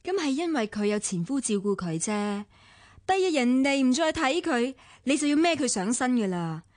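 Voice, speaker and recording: An actress playing a sharp-tongued young woman with a Hong Kong Cantonese accent, lecturing and sarcastic. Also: high voice, big pitch swings, moderate pace, no room sound. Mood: scornful. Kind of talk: 1990s radio drama acting.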